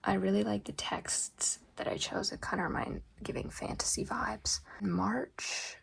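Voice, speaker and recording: A young woman flips through her journal, speaking softly, often close to a whisper, with lots of ums. Her voice is strongly gravelly and breathy, raspy for the day. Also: mid-range voice, measured pace, rough recording. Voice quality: gravelly, husky. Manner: soft, often half-whispered, rambling with ums. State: raspy voice today. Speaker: journal vlogger. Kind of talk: casual journal flip-through.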